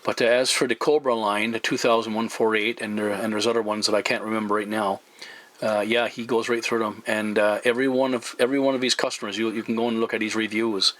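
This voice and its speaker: A man's voice: fairly low pitch, little pitch movement, fast, fairly bright tone. A man having a casual radio chat as a CB operator, friendly and courteous, often repeating himself. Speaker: CB radio operator, YouTube channel host.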